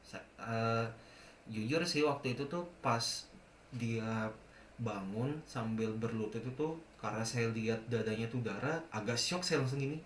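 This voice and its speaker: A man's voice: medium pitch, moderate pace, a bit bright, a little hiss or hum. A young man telling a ghost encounter in casual speech, hesitant and often correcting himself. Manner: hesitant, many self-corrections. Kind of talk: casual storytelling. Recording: roomy echo.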